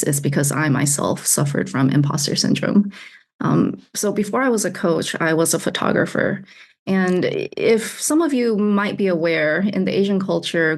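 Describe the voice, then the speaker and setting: A woman in her thirties speaks in a low register with a gravelly, fry-laden edge. She answers openly and conversationally, laughing easily as she explains her work as a coach over a video call. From United States (Alabama). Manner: candid, laughs often, repeats words. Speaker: executive coach, guest.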